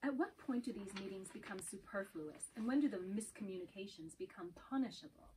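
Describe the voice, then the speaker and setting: A woman's voice: mid-range voice, animated, brisk, a little mellow, natural voice. A woman reading the part of a weary, cynical female teacher in an amateur script reading. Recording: faint background noise, clicks.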